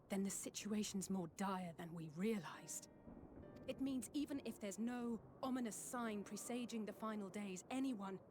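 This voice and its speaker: A woman acting a resolute young game heroine. Her voice is fairly high, earnest and urgent, with music playing behind her. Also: close to an everyday voice. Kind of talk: dramatic video game voice acting.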